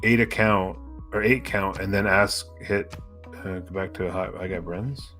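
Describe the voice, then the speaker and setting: Male game streamer, casual and hesitant, fairly low bright voice, faint music underneath. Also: fairly even intonation, a little slow. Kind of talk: casual chat during a multiplayer game stream.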